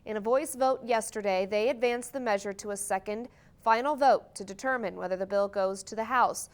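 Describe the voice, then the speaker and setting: A woman's voice: mid-range voice, brisk. An American woman anchoring a morning newscast, reading scripted news in a TV studio.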